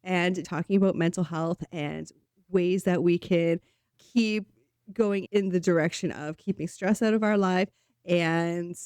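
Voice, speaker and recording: A woman hosting her own channel, a little sick so her voice sounds slightly off, talking earnestly and encouragingly to camera and slowing down to stress key points. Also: on the low side, fairly even intonation, darkish tone, smooth, no echo, studio quality. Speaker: host. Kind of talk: solo talk to camera.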